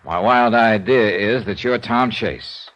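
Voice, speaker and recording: A man's voice: on the low side, takes it slowly, a bit bright, a little hiss or hum. An American man playing a weary, dogged insurance investigator in 1950s radio detective style, wry, clipped and world-weary. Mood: cool, probing. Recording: old radio recording with music behind.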